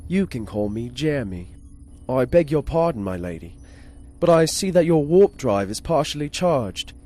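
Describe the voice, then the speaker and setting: An actor playing a polite, formal shipboard artificial intelligence with a gleeful murderous streak, in a 1950s-style space radio serial. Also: male voice, mid-range voice, sing-song, normal speed, warm tone. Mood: courtly, flirtatious. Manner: formal, courteous, precise wording. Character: polite, formal male ship's computer with murderous glee.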